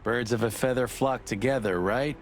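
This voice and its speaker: A man's voice: medium pitch, moderate pace, a little hiss or hum, natural voice. A man playing a loyal, hot-headed rooster cop in a noir game, wisecracking and blustery. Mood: teasing. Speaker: detective's partner. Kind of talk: film noir game voice acting.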